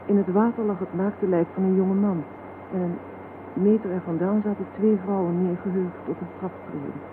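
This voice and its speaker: An actress playing a grave survivor who gives a measured, literary account in a radio play. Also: female voice, medium pitch, fairly even intonation, close to an everyday voice. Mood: grave, sombre. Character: grave female survivor recounting a bombing disaster. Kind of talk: dramatic scripted narration in a radio play. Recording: tinny, noisy old radio recording.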